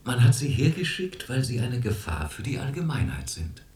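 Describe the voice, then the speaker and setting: A man's voice: medium pitch, big pitch swings, normal speed, darkish tone, lightly acted. Radio drama doctor, male actor: cool, probing, condescending, swinging intonation, recorded in a roomy echo. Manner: probing, patronizing questioning.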